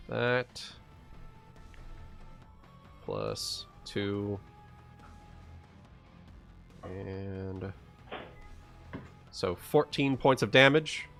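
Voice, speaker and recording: Slow, gravelly man playing a tabletop game. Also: fairly low pitch, fairly bright tone. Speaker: player in a tabletop roleplaying game. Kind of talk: casual game play, working out dice rolls aloud.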